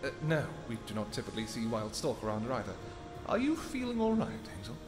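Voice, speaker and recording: A man voicing a protective royal vampire husband in a scripted audio roleplay, soft-spoken and affectionate, with hesitant pauses. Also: medium pitch, huge pitch swings, music in the background. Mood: amused.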